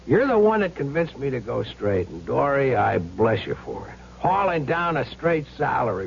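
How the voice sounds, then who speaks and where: An actor playing an affectionate, upbeat reformed gambler and eager expectant husband in a radio drama. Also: male voice, mid-range voice, gravelly. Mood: earnest, proud. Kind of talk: 1970s radio drama acting. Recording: dull old radio recording with hum.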